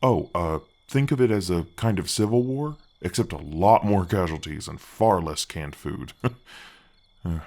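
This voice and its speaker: Gargoyle guardian played by a man, ancient but gentle: very low, effect-deepened voice, friendly, reassuring, slightly awkward fantasy ASMR delivery. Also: expressive. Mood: chatty, explaining. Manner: halting, friendly, reassuring, chatty. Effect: deep voice effect.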